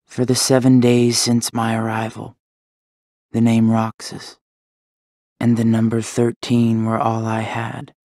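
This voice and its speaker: An actor playing a quiet, earnest, naive teenage boy in a video game, subdued and plain-spoken. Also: mid-range voice, completely flat delivery, takes it slowly, dry recording, studio quality. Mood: reflective. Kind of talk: English game voice acting, dialogue and reflective narration.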